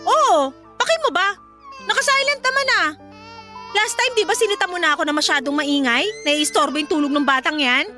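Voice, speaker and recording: A woman's voice: very high-pitched, fairly quick, sharp tone, faint music behind. A Filipino woman playing a resentful young mother in a radio drama, curt, sarcastic and confrontational. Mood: irritated, defensive. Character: bitter, resentful young mother rejecting her baby and partner.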